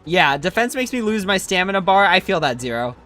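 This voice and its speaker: A streamer comments casually on a game, thinking aloud in slangy phrases. The mid-pitched voice swings animatedly between notes. Faint music plays behind the talk. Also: very animated, brisk, sharp tone. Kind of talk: casual gameplay commentary, reading chat.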